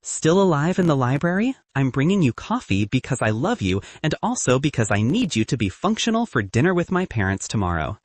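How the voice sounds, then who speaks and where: Casting: male narrator playing a witty, teasing young student girlfriend, animated, audiobook dialogue. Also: medium pitch, a little fast, few pauses, dry recording. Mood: affectionate teasing. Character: witty, sarcastic, affectionate young woman college student.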